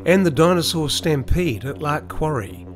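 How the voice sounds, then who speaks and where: Measured man narrating. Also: a little high, big pitch swings, noisy background. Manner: measured, explanatory. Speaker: vlogger. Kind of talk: scripted voice-over narration.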